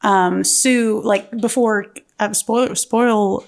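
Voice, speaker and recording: A woman talking casually as a panelist in a script discussion, tentative and halting as she lays out her thoughts. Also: medium pitch, fairly even intonation, gravelly.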